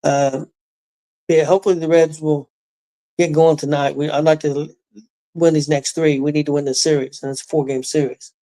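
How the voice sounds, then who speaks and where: A man's voice: fairly high pitch, level tone, mellow. Hesitant man in his sixties. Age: 60s. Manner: hesitant, halting with fillers. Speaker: co-host. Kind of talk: casual sports talk.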